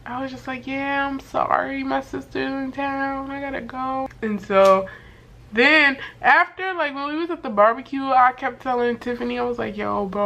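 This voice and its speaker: A young woman with a voice worn hoarse from sickness, telling a story to camera in a loose, slangy way full of fillers and swearing. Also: a little high, a bit bright. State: hoarse from being sick. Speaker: vlogger. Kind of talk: casual storytime chat to camera.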